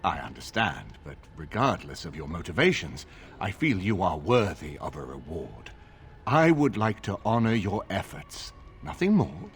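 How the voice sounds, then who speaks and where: An actor playing a grave older nobleman in a fantasy game, with formal, measured, commanding delivery. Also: low voice, big pitch swings. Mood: solemn, grateful. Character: grave noble lord, older man recovering from illness.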